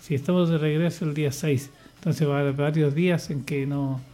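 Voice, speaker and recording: A man's voice: on the high side, steady tone, brisk, dark tone. An older man speaking in casual radio chat, hesitant and rambling, with many restarts and fillers. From Chile. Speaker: radio guest, church choir leader.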